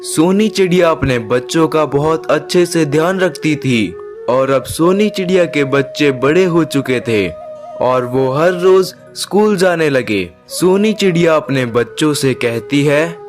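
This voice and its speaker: A man's voice: fairly high pitch, animated, measured pace, faint music behind. A man reading scripted narration as the storyteller of a children's moral story.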